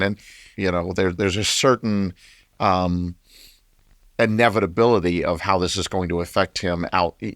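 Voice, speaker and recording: Radio interview guest author, thoughtful, deliberate, deep, fry-edged, audio drama. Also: male voice, a bit bright, dry recording, studio quality. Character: author guest discussing his tapes on late-night radio.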